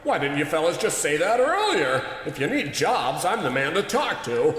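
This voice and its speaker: A panicky, arrogant animation tycoon, blustering in a high voice that leaps wildly up and down. He sounds frantic and quick to yelp. Heavy echo and long reverb surround him. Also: very animated, a bit bright. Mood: panicked. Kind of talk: comedic radio play acting. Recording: very echoey room with long reverb.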